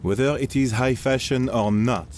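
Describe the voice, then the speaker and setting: A man's voice: medium pitch, moderate pace, darkish tone, slight background noise, natural voice. A man playing a courteous 1700s Frenchman in an audio drama, speaking politely and formally. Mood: tactful, hesitant.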